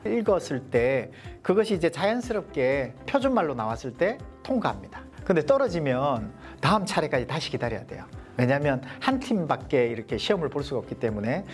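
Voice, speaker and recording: Male coach with a softened Gyeongsang accent; lively, explanatory lecture delivery. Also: fairly high pitch, fairly quick, hardly any pauses, soft background music. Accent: Gyeongsang Korean, mostly softened. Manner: poses rhetorical questions, explanatory. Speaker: leadership coach. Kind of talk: lecture to a small group.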